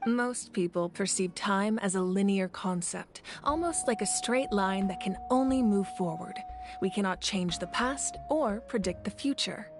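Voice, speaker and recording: A woman playing a thoughtful, composed female sage in a video game, speaking in a measured, reflective way over music. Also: medium pitch, lightly acted. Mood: calm, philosophical. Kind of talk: scripted video game dialogue. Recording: music under the voice. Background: background music.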